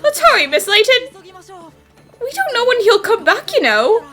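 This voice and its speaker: Woman playing a very high, excitable young detective's assistant. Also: moderate pace, exaggerated voice. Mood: nervous urging. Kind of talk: animated series fan dub acting. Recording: music under the voice.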